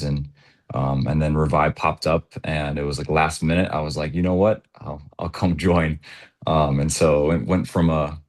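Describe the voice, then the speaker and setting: Very deep-voiced young man from Chicago. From Chicago, United States. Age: 20s. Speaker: program participant being interviewed. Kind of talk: casual personal testimony. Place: video call.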